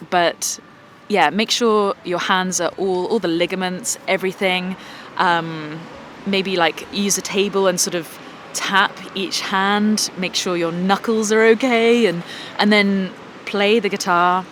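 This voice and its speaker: A woman's voice: on the low side, fairly bright tone, slight background noise. A young adult woman, a singer-songwriter and guitarist, chatting casually as she reads out viewer questions and answers them with self-deprecating humour. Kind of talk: casual Q&A vlog.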